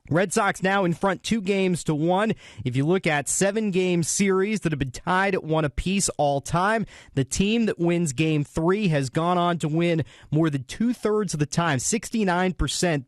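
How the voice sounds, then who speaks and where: A man hosts a sports radio post-game show. His voice sits a bit high for a man. The studio sound is dry and close, and he delivers a running recap with commentary. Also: no room sound. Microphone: close broadcast studio mic.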